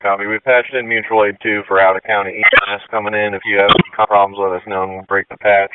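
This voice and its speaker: A narrow, slightly noisy radio channel carries a male dispatcher. His voice is lowish and very flat as he passes on information. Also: quick pace. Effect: two-way radio. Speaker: emergency dispatcher. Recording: phone-like, noisy two-way radio with clicks.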